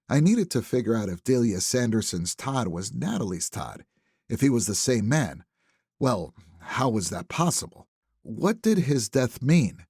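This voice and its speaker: A man narrating a first-person thriller audiobook in a wry, conversational, expressive manner. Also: fairly low pitch, husky, no room sound, studio quality.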